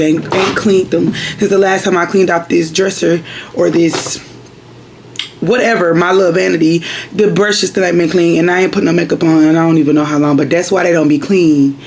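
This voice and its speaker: Raspy, gravelly woman vlogger. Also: on the low side, slight background noise. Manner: rambling, chatty, direct with viewers. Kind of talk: casual chat to viewers. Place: at home at her vanity.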